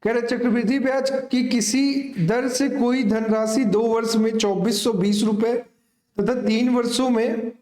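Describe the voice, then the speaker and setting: A man's voice: very high voice, steady tone, unhurried, darkish tone. A man teaching an online maths lesson step by step, repeating phrases and checking in fondly with his students. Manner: repeats phrases, addresses students affectionately, asks rhetorical check questions. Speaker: maths teacher for exam coaching. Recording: echoey room.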